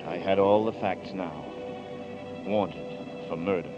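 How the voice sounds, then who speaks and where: An actor narrating in first person as a haunted man on the run, in 1940s radio drama style. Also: slow pace. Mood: brooding. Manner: low, brooding narration. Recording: dull 1940s radio broadcast with music.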